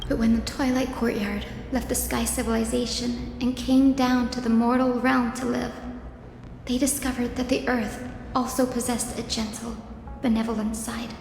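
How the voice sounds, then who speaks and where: Gentle young game heroine: high, earnest, polite, echoing over music. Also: female voice, flat delivery, normal speed, gravelly, a little hiss or hum. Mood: earnest, reflective. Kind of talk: fantasy game voice acting. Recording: reverberant hall, music behind.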